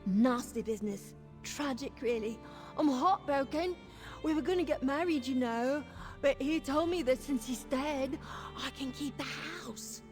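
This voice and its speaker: A woman's voice: high-pitched, measured pace, music in the background. An actress playing a drunken female squatter in a fantasy video game, rambling, stumbling over words and lying badly. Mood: mock grief. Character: tipsy, rambling female squatter, chatty shameless bad liar. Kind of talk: video game character dialogue.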